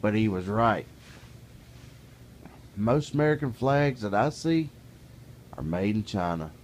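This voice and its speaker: A middle-aged man with a deep, low voice talks straight to the camera in a folksy, plainspoken way. He grows heated and exasperated as he goes. A faint hiss sits under the recording. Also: animated, a little slow, darkish tone. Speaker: vlogger. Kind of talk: casual ranting monologue.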